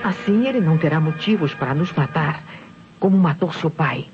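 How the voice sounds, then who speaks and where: A Brazilian woman acting a frightened, protective mother in an old radio drama, pleading and hushing. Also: sits low, lively intonation, normal speed, loud music behind the voice. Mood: anxious, pleading. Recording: dull old radio recording.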